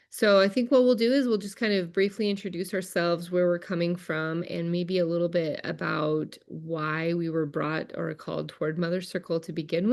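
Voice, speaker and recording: Relaxed low-ish woman hosting a circle. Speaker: circle facilitator and host. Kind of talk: casual video call chat. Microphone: video call.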